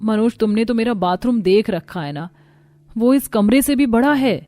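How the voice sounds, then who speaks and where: A woman's voice: fairly high pitch, expressive, normal speed, a little mellow, natural voice. A woman voicing a haughty, spoiled young rich bride in a cartoon story, complaining with disdain and dropping in English words. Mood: disdainful. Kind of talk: Hindi cartoon story voice acting.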